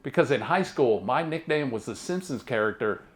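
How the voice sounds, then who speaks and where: A man in his thirties from near Baltimore with a Southern-sounding drawl, telling self-deprecating stand-up jokes in a deadpan way. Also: medium pitch, moderate pace. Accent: Southern-sounding American drawl. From Maryland, near Baltimore. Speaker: stand-up comedian. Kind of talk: stand-up comedy set.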